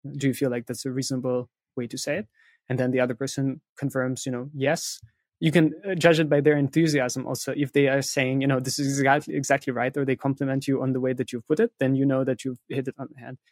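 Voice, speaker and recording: A man's voice: mid-range voice, level tone, quick pace, a little mellow, no echo, studio quality. A man speaking as an interview guest, explaining things step by step in conversation and often checking in with "right?" and "you know". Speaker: interview guest, content writer who interviews experts.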